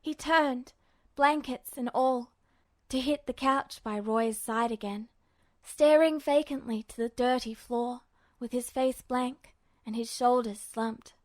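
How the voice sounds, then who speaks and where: A woman's voice: on the high side, slow pace, dry recording. A woman reading scripted fiction aloud as the narrator of a story. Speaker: podfic narrator.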